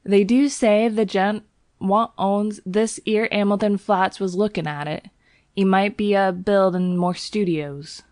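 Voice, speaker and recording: A woman's voice: medium pitch, fairly even intonation, unhurried, a little mellow, no echo, studio quality, pitched above the performer's own voice, close to an everyday voice. A woman voicing a young Cockney bellboy, a former soldier, who drops his h's and keeps saying sir. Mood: uneasy.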